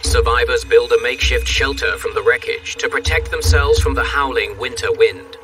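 A man narrating a documentary in a measured, dramatic scripted delivery. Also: mid-range voice, steady tone, noisy background. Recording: music bed, distant sound.

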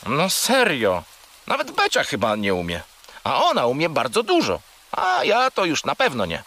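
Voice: medium pitch, wildly swooping pitch, normal speed, a bit bright, heavily acted. An actor voicing a grumpy, sulky little male furry pet in a children's audio play, with a matter-of-fact manner.

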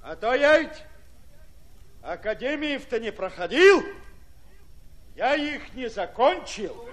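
An actor playing a fiery, folksy Red Army commander in a radio drama, with plain peasant speech delivered forcefully. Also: male voice, very high-pitched, big pitch swings, takes it slowly, full character voice. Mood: hearty, earnest.

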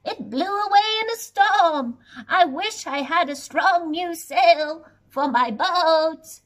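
A woman's voice: very high voice, slow pace, exaggerated voice, low-quality recording. A woman playing an upset, bleating storybook goat. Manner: loud, pleading. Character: a goat, upset after losing his sail.

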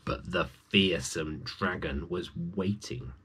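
A man's voice: low voice, takes it slowly, fairly bright tone, slight background noise. A man in his forties reads a children's story aloud, adding chatty asides to his young listeners. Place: small room at home with bookshelves.

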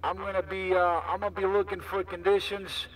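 A man with a fairly high voice talks over CB radio. He chats in loose slang, repeating himself, and sounds some way from the mic. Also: little pitch movement, brisk, fairly bright tone. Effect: CB radio transmission. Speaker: CB radio operator. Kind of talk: casual CB radio chat. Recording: distant-sounding CB radio reception.

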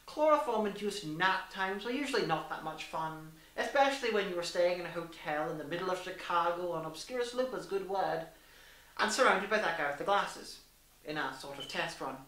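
A woman's voice: lowish voice, expressive, moderate pace, a bit bright. A young woman reading a story aloud in a theatrical, arch, mock-genteel manner. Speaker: story reader. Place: small room indoors. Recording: echoey room, distant mic.

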